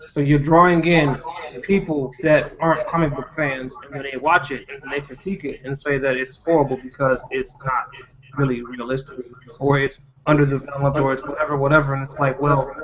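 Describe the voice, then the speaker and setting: A man hosting a casual group discussion, talking in an animated, teasing way. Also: on the high side. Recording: dull lo-fi audio.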